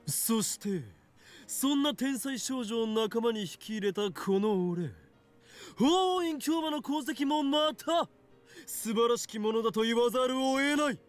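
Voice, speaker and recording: An actor playing a grandiose young self-styled mad scientist in a Japanese visual novel, theatrical and pompous. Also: male voice, extremely high pitch, expressive, fairly quick, soft background music. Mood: boastful.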